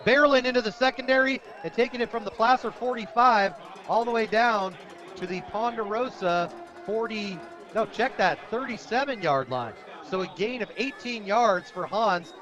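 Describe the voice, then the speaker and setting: A high-voiced American man works as a radio play-by-play announcer for a football game. He talks conversationally in short, punchy phrases. The broadcast sound is dull and lo-fi. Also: normal speed, music in the background.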